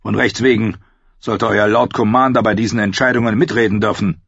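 A man's voice: on the low side, fairly even intonation, fairly quick, gravelly, fairly bright tone, husky, no room sound, pitched above the performer's own voice, exaggerated voice. A man voicing a cold, impatient king who speaks curtly and commandingly, in a slightly dull audiobook recording. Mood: stern. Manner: curt, commanding.

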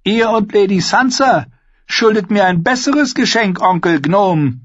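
An arrogant boy king from a fantasy audiobook, with a voice pushed very high and swinging widely. He is cruel, excitable and imperious, boasting as he goes. The sound is slightly dull. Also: unhurried, no room sound. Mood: haughty. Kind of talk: fantasy audiobook character acting.

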